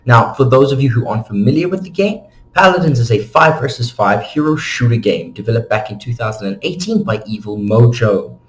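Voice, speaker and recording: A man's voice: mid-range voice, lively intonation, brisk, low-quality recording. A man hosting an informal explainer, talking casually and conversationally to his viewers as he narrates. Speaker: host of a game lore channel.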